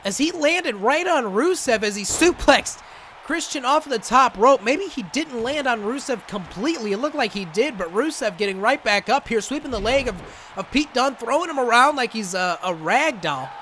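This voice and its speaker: A young man doing excitable play-by-play commentary over a wrestling video game, coughing and saying he is losing his voice. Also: extremely high pitch, moderate pace, slight background noise. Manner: excitable, rising to shouts at big moments. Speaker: gaming channel host and commentator.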